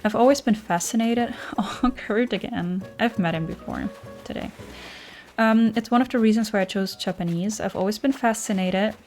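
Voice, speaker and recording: A woman's voice: mid-range voice, normal speed, darkish tone, soft background music. Young woman streamer with a German accent. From German-speaking. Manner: chatty, thinks aloud with fillers. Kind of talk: casual chat reading viewer messages.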